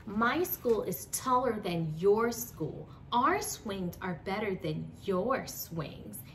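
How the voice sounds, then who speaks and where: A woman's voice: mid-range voice, animated, fairly bright tone, far from the mic, a little hiss or hum. Woman doing a smug, bragging schoolgirl. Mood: boastful. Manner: slow, emphatic bragging. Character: boastful show-off schoolgirl, smug and competitive.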